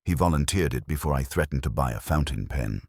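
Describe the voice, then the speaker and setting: Really deep guy reading a detective story, wry and hard-boiled, lively pitch swings, dry sound. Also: a little fast, gravelly, husky, no echo. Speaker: audiobook narrator. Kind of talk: first-person detective story narration.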